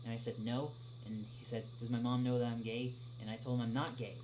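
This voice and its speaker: A young man answering questions in a casual livestream chat, speaking haltingly with many drawn-out filler pauses. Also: medium pitch, flat delivery, darkish tone. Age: young adult. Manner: halting, hesitant delivery with frequent long filled pauses. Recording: clearly noisy, humming background.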